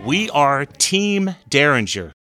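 Lively enthusiastic male podcast host. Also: on the high side, takes it slowly, music in the background. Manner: enthusiastic, emphatic. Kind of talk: scripted podcast hosting.

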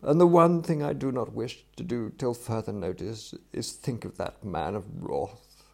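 Give me a man playing an excitable, slangy young English toff from a comic storybook, blustering and exclamatory, with a posh accent and some creak in the voice. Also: lowish voice, measured pace. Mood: solemn, resolute. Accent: upper-class English. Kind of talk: acted audiobook dialogue.

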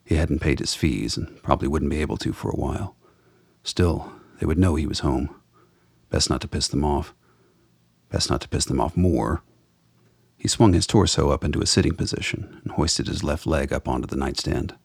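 Male drama narrator, extremely deep and raspy, scripted noir storytelling. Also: moderate pace, no echo.

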